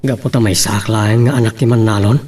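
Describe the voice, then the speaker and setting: A man's voice: lowish voice, fairly even intonation, brisk, darkish tone, slightly rough, far from the mic. A man with an Ilocano accent playing an earnest young suitor in a radio drama. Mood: doubtful, hurt.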